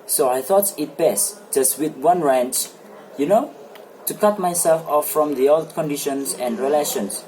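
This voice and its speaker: Somebody doing self-satisfied husband guy in an old play, eager n formal, slow, mellow, bit of noise. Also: mid-range voice, natural voice, rough recording. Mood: earnest, explaining. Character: Hjalmar, talkative, self-satisfied married photographer, a man. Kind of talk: amateur dramatic play reading.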